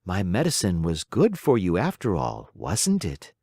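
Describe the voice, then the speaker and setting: A storyteller voicing a patient, motherly fairy who coaxes gently but firmly. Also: low voice, huge pitch swings, unhurried, no echo, clean studio sound, full character voice. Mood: coaxing. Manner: gentle, coaxing and firm.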